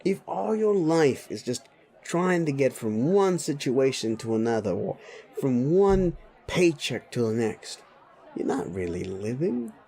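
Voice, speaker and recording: A man's voice: mid-range voice, very animated, a little slow, vocal fry, dark tone, husky, exaggerated voice. A man playing a sleazy, bullying, foul-mouthed werewolf boss in a roleplay audio drama, blunt and needling. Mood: exasperated, scornful. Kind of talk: immersive roleplay audio drama addressed to listener.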